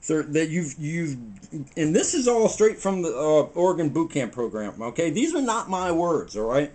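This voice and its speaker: A man's voice: fairly high pitch, normal speed. A middle-aged man hosting a home cooking video, giving a rambling, preachy monologue while baking in a small kitchen, picked up by a camera mic a few feet away. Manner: preachy, animated, talks while working with his hands. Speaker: home cooking show host. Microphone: camera mic on the table a few feet away.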